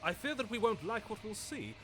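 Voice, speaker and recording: A man voicing an excitable, anxious inner voice in a video game, with theatrical, expressive delivery. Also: high-pitched, brisk, noisy background. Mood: fearful. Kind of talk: dramatic game voice acting.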